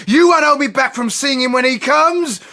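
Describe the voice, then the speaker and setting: A man's voice: very high voice, normal speed, very noisy. An actor playing an obsessive, unstable male asylum patient in a radio horror drama, with an erratic, childish intensity. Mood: frenzied, wailing.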